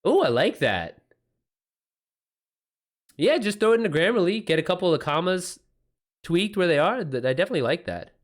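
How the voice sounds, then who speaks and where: A man's voice: high voice, big pitch swings, a little fast, long pauses. A man hosting a livestream, chatting casually with viewers in loose slang and swearing freely. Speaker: livestream host.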